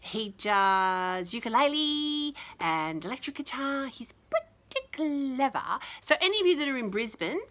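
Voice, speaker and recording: A woman with an Australian accent reading a picture book to young children, adding chatty, affectionate asides in an expressive way. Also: sits high, measured pace, hardly any pauses. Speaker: children's storyteller and teacher.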